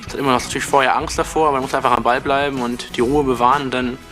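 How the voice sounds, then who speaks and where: A man's voice: mid-range voice, steady tone, rapid-fire, fairly bright tone, faint music behind. A nineteen-year-old man from Germany with a lisp, talking earnestly as a contestant on a TV casting show. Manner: earnest, chatty, lots of tag questions. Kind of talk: TV interview and casting talk.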